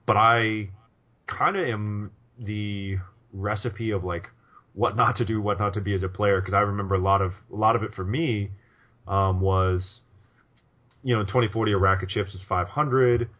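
A man's voice: fairly low pitch, fairly even intonation, moderate pace, muffled recording. A man co-hosting a poker podcast in casual chat, rambling with lots of restarts and fillers. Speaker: podcast co-host.

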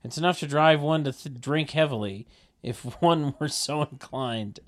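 A man with a slightly high voice narrates a comedy script. He reads the stage directions with wry, mock-formal phrasing, like a narrator at a table read. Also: moderate pace.

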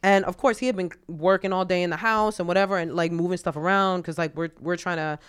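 A woman with a New York accent and a deep voice talks quickly in a casual chat. Her tone is smooth and clear, and she jumps into voices as she tells stories. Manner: animated storyteller acting out voices. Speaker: comedian, podcast host.

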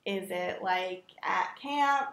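A woman's voice: on the low side, slow pace, gravelly, a bit bright, roomy sound. A woman co-hosting a podcast, chatting casually and asking lots of questions. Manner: inquisitive, asks many questions. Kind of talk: casual chat.

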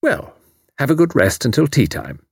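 A man voicing a sharp, brisk middle-aged landlady who speaks crisply and pointedly. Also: mid-range voice, big pitch swings, dry recording, studio quality, voice pushed up, heavily acted.

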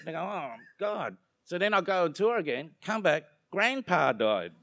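A man's voice: high-pitched, sing-song, normal speed, fairly bright tone, dry recording, studio quality. A young adult man with an Australian accent, being interviewed, talking casually and joking, drifting onto tangents. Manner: rambling, joking, self-deprecating, goes off on tangents.

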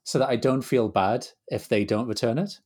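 A co-host with a husky, breathy voice chats casually. The delivery is loose and relaxed and tells a small personal habit. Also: mid-range voice, moderate pace. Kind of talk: casual chat.

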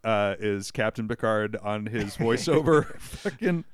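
A man's voice: lowish voice, animated, measured pace, dry recording, clean studio sound. A man co-hosting a podcast in casual chat, wry and exasperated and swearing freely. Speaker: podcast co-host.

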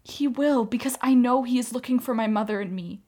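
A woman's voice: fairly high pitch, brisk, a little mellow, close to an everyday voice. A woman acting in a radio play as an anguished, defiant young Armenian mother, pleading with feeling. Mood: indignant, defiant. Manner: impassioned, pleading.

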